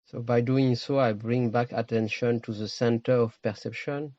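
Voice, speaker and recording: Low-voiced man guiding a meditation. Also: fairly even intonation, a little mellow, dry recording, dull audio. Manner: slow, pausing, short phrases. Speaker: meditation teacher. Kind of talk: guided meditation.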